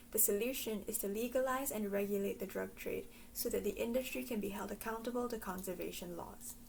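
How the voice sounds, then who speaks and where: A woman from Singapore giving a scripted explanatory talk in a measured way. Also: medium pitch, gravelly, a little mellow, slight background noise, rough recording. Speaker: presenter. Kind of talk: scripted educational narration.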